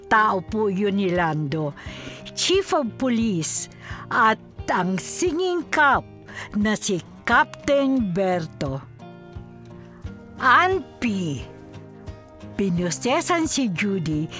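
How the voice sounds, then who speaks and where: Lively, unhurried female drama announcer. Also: medium pitch. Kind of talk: scripted narration, reading credits. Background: music.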